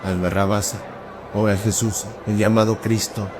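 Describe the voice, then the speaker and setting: A man playing a stern Roman governor who questions in a measured way, in an audio drama of scripture. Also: fairly low pitch, level tone, lightly acted. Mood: questioning. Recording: loud crowd and street noise behind.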